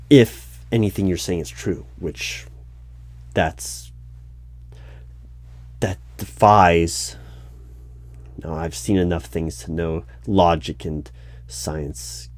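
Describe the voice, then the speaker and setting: A man playing an uneasy, disbelieving hiring manager of a secret bureau, speaking haltingly in a one-sided audio roleplay. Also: low-pitched, darkish tone, no room sound. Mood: incredulous, uneasy. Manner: halting, pausing, incredulous. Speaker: solo roleplay performer. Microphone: close microphone with keyboard typing behind.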